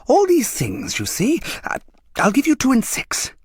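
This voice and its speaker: A man's voice: extremely high pitch, wildly swooping pitch, measured pace, husky, dry recording, voice pushed up, full character voice. A man voicing an old door-to-door junk buyer in a story, with coaxing, sibilant, sing-song patter. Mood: coaxing, eager.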